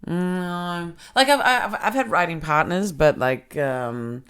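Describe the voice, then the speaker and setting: A woman with a low, husky voice hosting a casual podcast chat, frank and quick to laugh. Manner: frank, animated, laughs easily. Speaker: podcast host, comedian. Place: comedy club.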